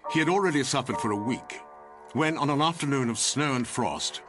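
A man's voice: mid-range voice, animated, fairly quick. A man, reading scripted literary narration for a period drama. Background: music.

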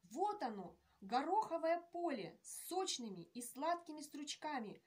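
A woman voicing an eager, cheerful young male rabbit in a storybook reading, speaking in high, excited exclamations. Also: unhurried. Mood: delighted.

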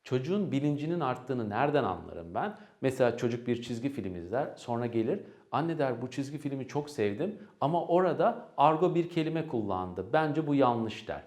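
A man presents educational advice for parents in a mid-pitched voice. His intonation moves up and down a lot as he explains. He speaks didactically, setting up questions and then answering them step by step. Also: sing-song, moderate pace, few pauses, darkish tone.

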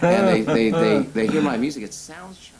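A man's voice: medium pitch, expressive, a little fast, crowd noise. A man in his forties answering interview questions in a living room, reflective and hesitant with fillers. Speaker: musician interviewee. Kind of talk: seated interview. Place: living room, seated in armchair. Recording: noisy home video recording.

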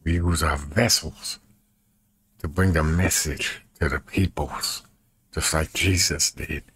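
A man in his fifties with a husky voice, chatting casually to camera in a folksy way and repeating himself as he demonstrates. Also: rumbling low, steady tone, slow, a bit bright, dry recording. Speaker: evangelist and video creator. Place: small bedroom, indoors.

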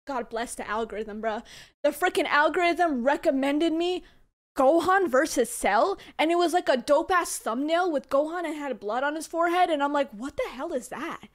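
Reaction host: young woman, very high voice, slangy and expressive, casual fan chatter. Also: moderate pace. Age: young adult. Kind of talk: casual excited fan chat. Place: small bedroom. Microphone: close desk microphone on boom arm.

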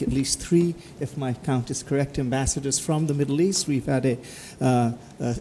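A man in his forties, co-host and editor, giving measured, courteous closing remarks at a table mic in a conference hall. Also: medium pitch, mellow, a little hiss or hum.

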